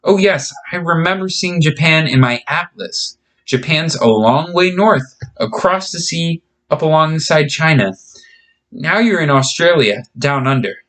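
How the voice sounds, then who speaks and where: Casting: male reader as young storybook girl, friendly and chatty, pitch lifted, reassuring. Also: lively intonation, a little slow, fairly bright tone. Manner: chatty, explaining simply.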